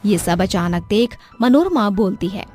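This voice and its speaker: A woman's voice: medium pitch, lively intonation, measured pace, darkish tone. A woman narrator reading a scripted dramatic story for listeners. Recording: music behind the voice.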